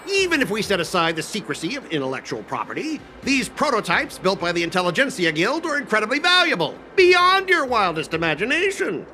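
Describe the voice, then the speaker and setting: High-pitched, blustering corporate officer. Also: male voice, sing-song, brisk, sharp tone, slight background noise, exaggerated voice. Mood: officious, wary. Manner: indignant, argumentative, officious. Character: stubborn, blustering male corporate cargo fleet officer. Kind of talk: animated video game voice acting.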